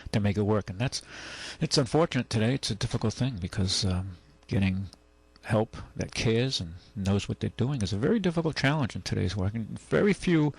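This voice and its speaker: A man's voice: lowish voice, creaky, husky, low-quality recording. An older man hosting a call-in radio talk show, rambling and folksy with many restarts. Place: radio studio.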